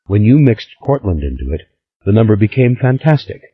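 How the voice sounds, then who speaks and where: A man playing an ill old patriarch, imperious and proud, who gives orders in a husky voice that rises and falls with feeling. The recording sounds slightly dull and lo-fi. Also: on the low side, very animated, brisk, voice pushed up. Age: older. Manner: imperious, commanding. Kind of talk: audiobook character voice.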